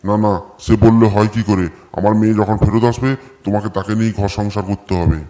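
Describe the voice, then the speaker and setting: Flat, dark-voiced old father-in-law acted by a man. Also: lowish voice, fairly quick, natural voice. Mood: protesting. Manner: lamenting, blaming. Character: aggrieved old father-in-law guarding family honour. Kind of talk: comedy cartoon voice acting.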